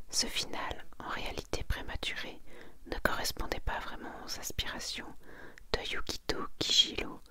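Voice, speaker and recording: A woman whispers softly, as in an ASMR video. Her voice is breathy and barely voiced. She reads and comments in a gentle, unhurried, confiding way. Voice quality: whispered, breathy, almost entirely unvoiced. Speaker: ASMR content creator. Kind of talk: soft whispered ASMR, presenting and reading manga summaries.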